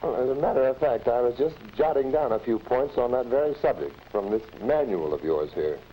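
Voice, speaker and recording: A man's voice: medium pitch, expressive, brisk, dark tone, natural voice. An American man acting as a plain-spoken, slightly baffled factory foreman in a 1940s instructional film, with a folksy, colloquial manner. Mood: wry. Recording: noisy vintage 1940s film soundtrack.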